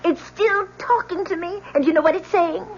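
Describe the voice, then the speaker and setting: A woman's voice: extremely high pitch, moderate pace. A woman acting an anguished, bitter daughter in a 1970s radio drama, with intense, theatrical emotion. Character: anguished, bitter daughter torn between father and lover. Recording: muffled old radio broadcast with faint hum.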